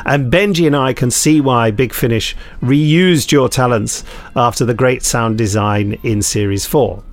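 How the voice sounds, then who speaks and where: A man's voice: medium pitch, a little slow. A British man co-hosting a podcast, reading out listener emails and jokily breaking off to add his own comments. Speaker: podcast co-host.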